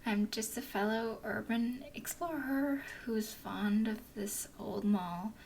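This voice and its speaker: A woman voicing a flirty, teasing female werewolf explorer in an ASMR roleplay, speaking in a soft whisper with a playful drawl. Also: on the high side, slow pace, creaky, a little hiss or hum, rough recording.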